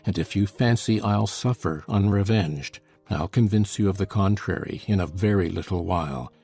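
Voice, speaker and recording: A man's voice: sits low, normal speed, mellow, husky, faint music behind. A man playing a brooding, bitter, vengeful villain who growls his scornful lines in a dramatized classic novel.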